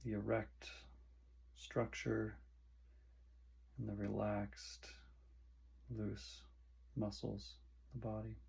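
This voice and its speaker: A man guiding a meditation in short, soothing phrases with long pauses between them. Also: fairly low pitch, completely flat delivery, creaky, a little mellow, husky. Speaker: meditation guide. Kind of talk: guided meditation instruction.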